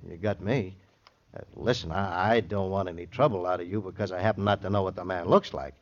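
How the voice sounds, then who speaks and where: A man's voice: sits low, fairly even intonation, a little fast, natural voice. An American actor playing an evasive, streetwise male witness in a vintage radio crime drama, brash, slangy and rambling. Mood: defensive, rambling. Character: evasive, cocky, fast-talking wounded male witness, streetwise. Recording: muffled old radio recording.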